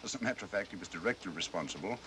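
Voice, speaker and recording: An actor playing a coolly analytical English detective, precise, articulate and dryly understated, in 1940s mystery film style. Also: male voice, low-pitched, expressive, very fast, sharp tone. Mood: grave, analytical. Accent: British. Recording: noisy old film soundtrack.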